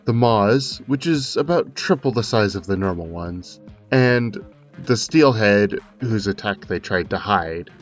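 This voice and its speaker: Low-voiced man narrating, slightly muffled, over music. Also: animated, a little slow. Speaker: video creator narrating. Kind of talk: scripted game analysis narration.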